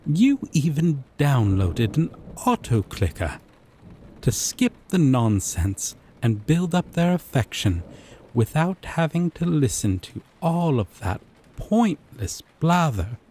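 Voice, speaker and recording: Slow, husky, obsessive lovestruck actor as game boyfriend. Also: male voice, medium pitch, big pitch swings, full character voice. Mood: amused, disdainful. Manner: slow, lingering, deliberate pauses, breathy soft chuckles. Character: gentle obsessive lovestruck male dating-sim character, teasing and possessive. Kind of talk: intimate audio roleplay monologue.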